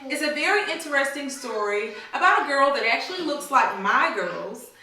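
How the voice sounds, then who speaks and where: Bright-voiced woman reading aloud in echoey room. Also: sits high, animated, moderate pace. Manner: teacherly, prompting children with questions. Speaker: mother reading a storybook to her daughters. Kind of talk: read-aloud storytime with questions to children. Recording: very echoey room, slightly distant mic.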